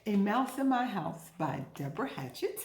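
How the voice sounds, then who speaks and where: A woman hosting an interactive storytime and vocabulary lesson for young children, speaking in an emphatic, deliberate, teacherly way. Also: medium pitch, big pitch swings, darkish tone. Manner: emphatic, deliberate teacherly delivery with repetition and questions to listeners. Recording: roomy, echoing indoor recording.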